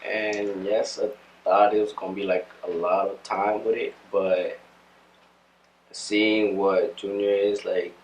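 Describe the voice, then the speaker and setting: Male cast member, earnest, deep monotone, roomy lo-fi. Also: slow, a little hiss or hum. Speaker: actor in the film. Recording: roomy, distant, dull sound.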